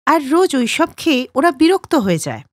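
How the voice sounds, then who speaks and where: High-pitched, animated woman storyteller. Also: brisk, no room sound, clean studio sound. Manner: expressive. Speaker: narrator. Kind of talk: scripted children's story narration.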